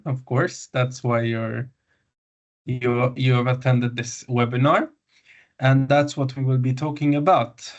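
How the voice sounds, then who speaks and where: A man in his twenties from Syria, a doctor giving an informal webinar presentation, halting with many fillers and self-corrections over a video call. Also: mid-range voice. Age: 20s. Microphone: video call microphone. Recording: muffled lo-fi webinar audio.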